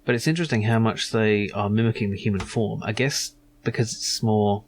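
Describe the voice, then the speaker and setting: A man's voice: on the low side, brisk, slight background noise. A man giving casual commentary on a game he is playing, musing and a little hesitant as he goes. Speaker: gaming video host.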